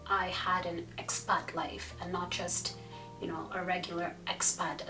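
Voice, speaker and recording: A woman in her twenties from India talks about her life fluently and thoughtfully. Her voice sits a bit low. She sounds a little far from the mic in a roomy indoor room, with faint music beneath. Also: fairly bright tone. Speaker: interviewee. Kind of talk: casual interview answers.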